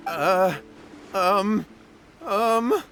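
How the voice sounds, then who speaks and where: A man's voice: sits high, expressive, drawn-out words, fairly bright tone, faint music behind, full character voice. A man playing a frightened young man who stammers and panics as he confesses. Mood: panicked.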